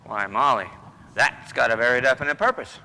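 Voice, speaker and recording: A man's voice: mid-range voice, a little fast, pushed and bright. A man playing a folksy, stubborn, wisecracking husband in an old-time radio comedy, boastful and loose with his grammar. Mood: chatty, wisecracking. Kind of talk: 1940s radio comedy acting. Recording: roomy hall reverb, noisy background.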